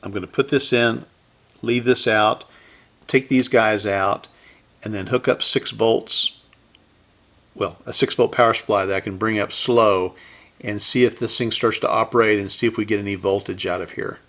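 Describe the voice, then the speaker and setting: A man's voice: on the low side, fairly even intonation, normal speed, a bit bright. A middle-aged man hosting a casual hands-on repair demonstration, talking to himself unscripted as he works. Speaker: host of a vintage electronics repair channel. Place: indoor electronics workbench.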